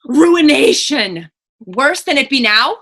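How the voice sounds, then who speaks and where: Woman playing witch, high bright voice, proud, defiant, taunting, folksy stage drama. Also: big pitch swings, slow, a little hiss or hum, exaggerated voice. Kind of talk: stage readers theater acting.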